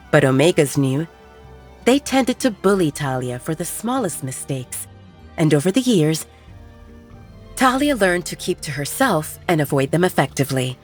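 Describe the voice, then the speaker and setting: A woman reads fiction as an audiobook narrator. Her voice sits a little low and moves with very lively intonation. Her storytelling is measured and expressive, over soft background music. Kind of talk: scripted dramatic fiction narration.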